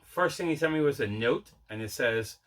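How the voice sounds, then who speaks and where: A man's voice: mid-range voice, expressive, normal speed. A man hosting a collecting vlog, chatting casually in a rambling, conversational way and correcting himself as he goes. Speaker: host of a collecting channel. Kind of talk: casual unboxing vlog chat.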